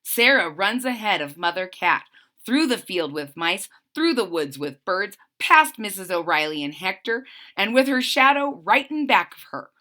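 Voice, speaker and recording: A woman reading a children's story aloud in an expressive style, with simple retelling asides. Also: mid-range voice, normal speed.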